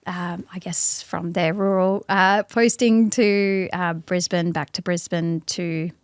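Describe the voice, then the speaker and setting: An Australian woman, an interview guest, speaking thoughtfully in a casual conversation with many pauses and restarts. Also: fairly low pitch, steady tone, clear, resonant tone, no room sound, clean studio sound.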